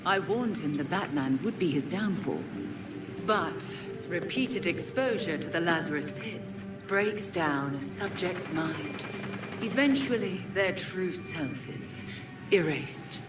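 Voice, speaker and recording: A woman's voice: lowish voice, expressive, takes it slowly, loud music behind the voice. An actress playing a cool, aloof female assassin in a video game, formal and controlled. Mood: cool, grave. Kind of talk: video game cutscene acting. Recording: loud vehicle-like ambient noise.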